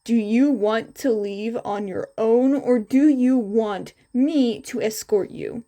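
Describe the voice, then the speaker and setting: Woman voicing a calm, firm young male hero, slow-spoken. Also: on the high side. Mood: firm, threatening. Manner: calm, firm, quietly threatening.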